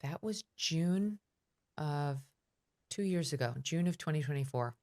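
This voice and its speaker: Low-voiced fast-talking woman host. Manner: quick, animated storytelling. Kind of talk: podcast interview.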